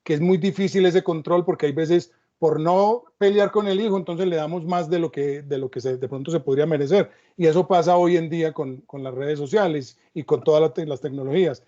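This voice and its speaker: High-voiced mellow man moderating. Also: brisk, hardly any pauses. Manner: reflective, storytelling asides. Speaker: panel moderator. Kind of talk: academic panel talk. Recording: dull lo-fi sound.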